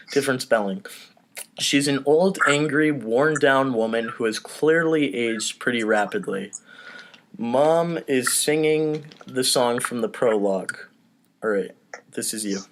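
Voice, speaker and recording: A man's voice: medium pitch, takes it slowly, slight background noise. A man hosting a comedy podcast, casually reading out stage directions and steering a table read.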